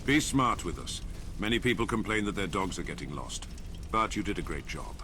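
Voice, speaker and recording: A man's voice: sits low, expressive, a little fast, background noise. A man playing a solemn elder monk in video game dialogue, making ceremonial declarations. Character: solemn male elder monk of fantasy order.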